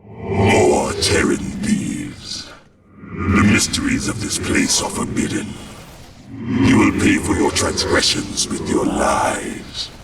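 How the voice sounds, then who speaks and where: An actor voicing a solemn, forbidding alien warrior prophet in a video game, gravelly and archaic in his portentous declamation. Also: takes it slowly, over a noisy crowd, lightly acted. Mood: menacing, wrathful. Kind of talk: theatrical video game voice acting. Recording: loud music behind.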